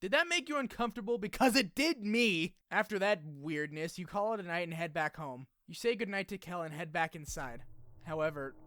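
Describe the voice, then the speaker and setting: A man's voice: high voice, brisk, pushed and bright, dry recording. A man narrating a video essay in a casual, scripted recap style, with wry, slangy asides. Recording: music under the voice. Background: music.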